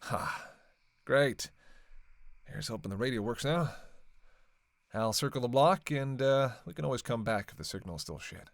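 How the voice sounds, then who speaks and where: A man's voice: medium pitch, animated, moderate pace, gravelly, pauses often, dry recording, clean studio sound. A man playing a sardonic, flirtatious, long-lived vampire killer in a roleplay audio drama, with casual, teasing, sweary banter. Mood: coaxing. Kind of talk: casual roleplay audio acting, one side of a dialogue.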